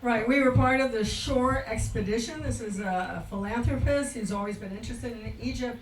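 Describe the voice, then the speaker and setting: A woman's voice: a little high, brisk. An older woman speaks as a guest presenter in an informal lecture. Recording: echoey hall, distant mic, clear background noise.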